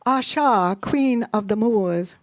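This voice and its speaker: A woman voicing an eager, imaginative eight-year-old girl with a high, excitable little voice. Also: slow pace, no echo. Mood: playful, curious.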